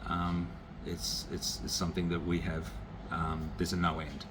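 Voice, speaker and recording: Deep-voiced Australian pastor, noisy recording. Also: male voice, monotone, measured pace. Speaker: visiting pastor. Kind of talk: unscripted encouragement to a church congregation.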